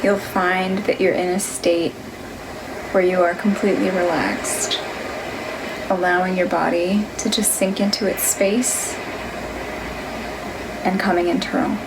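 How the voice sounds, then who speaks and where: A woman leading a guided meditation, giving gentle, unhurried instructions in a soothing manner. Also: lowish voice, level tone, background noise. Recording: music behind the voice. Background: music.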